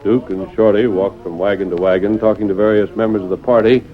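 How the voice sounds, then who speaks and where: A man's voice: lowish voice, fairly even intonation, quick pace. A man narrating a western drama in scripted old-time radio style. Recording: muffled vintage radio, music underneath.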